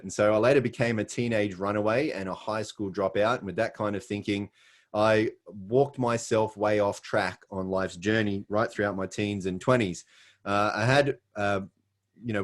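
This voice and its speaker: A middle-aged Australian man giving an earnest, scripted sales presentation, with frequent "uh" fillers. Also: fairly low pitch.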